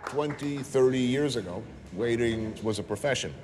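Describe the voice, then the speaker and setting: A man's voice: medium pitch, moderate pace, a loud crowd, heavy background noise. A man hosting a television show who chats and interviews in a dry, wry way. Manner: dry, wry conversational delivery. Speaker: television host. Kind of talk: casual interview and narration. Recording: music underneath.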